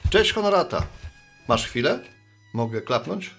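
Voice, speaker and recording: A man's voice: medium pitch, very animated, a little slow, creaky, a bit bright, faint music behind, full character voice. An actor playing a good-natured, sheepish middle-aged man, a postman and bar regular, talking in a folksy, rambling way in a radio play. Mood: jovial.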